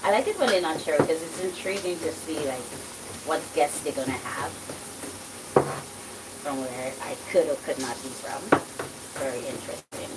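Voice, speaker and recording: A chatty woman with a light Trinidadian accent talks through her cooking in a rambling, easy way, her voice on the low side. She sits back from the mic in a home kitchen, and loud sizzling from the pan fills the background. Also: normal speed, long pauses, far from the mic, heavy background noise. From Dominica, raised in Trinidad and Tobago. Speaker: cooking channel host. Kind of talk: casual cooking vlog chat. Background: sizzling food frying.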